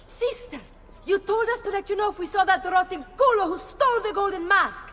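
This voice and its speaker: A woman's voice: very high-pitched, fairly quick, fairly bright tone, long reverb tail, a little hiss or hum. An actress playing an urgent young female informant in a game cutscene. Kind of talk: video game cutscene acting.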